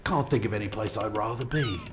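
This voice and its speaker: Man playing a deep-voiced old ex-copper. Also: fairly quick, background noise, lightly acted. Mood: content, chatty. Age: older. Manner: rambling, hesitant, understated. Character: genial retired London policeman turned small-town caricaturist. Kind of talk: improvised mock-documentary interview.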